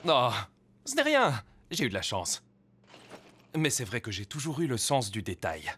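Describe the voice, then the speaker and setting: An actor playing a proud, self-important police officer in a French cartoon dub, with a boastful, emphatic delivery. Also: male voice, medium pitch, wildly swooping pitch, normal speed, fairly bright tone, slight background noise. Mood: smug pride.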